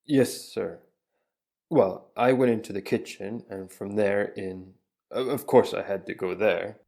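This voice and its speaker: A man's voice: on the low side, measured pace, gravelly, a little mellow. A man playing a plain-spoken 1890s witness under cross-examination, giving short, polite answers. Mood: steady. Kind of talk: dramatic reading of a trial transcript.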